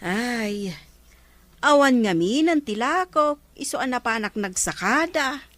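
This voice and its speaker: A woman's voice: on the high side, animated, slow pace, fairly bright tone, no room sound. A woman with an Ilocano accent playing a disapproving, gossipy village neighbour in a comedy drama. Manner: gossipy, reproachful.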